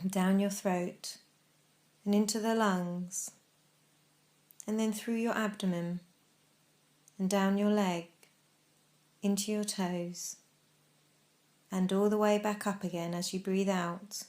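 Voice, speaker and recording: A woman narrating a guided meditation as instructor, in a measured, instructional manner with deliberate pauses. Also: mid-range voice, little pitch movement, a little mellow.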